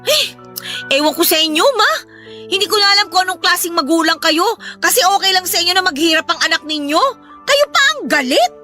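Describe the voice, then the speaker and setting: A young, put-upon Filipino daughter in an audio melodrama. The voice is high and forceful with a bright edge. She complains and defends herself reproachfully, with faint music underneath. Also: expressive, a little fast, full character voice. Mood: hurt, indignant. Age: young adult.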